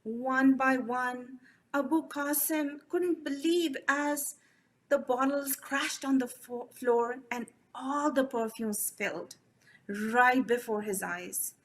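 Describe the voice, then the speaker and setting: A woman storyteller whose first language is Urdu, telling children's folk tales with lively expression. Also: high-pitched, slow. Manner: animated, expressive, addresses the children. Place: library event.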